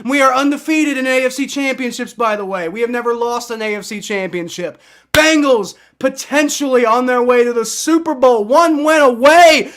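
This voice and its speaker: A young man calls out his team's win on a fan livestream, yelling and swearing with raw excitement. His voice sits very high and sounds bright and strained. He has shouted himself partly hoarse. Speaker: sports fan livestream host. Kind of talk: excited live fan reaction.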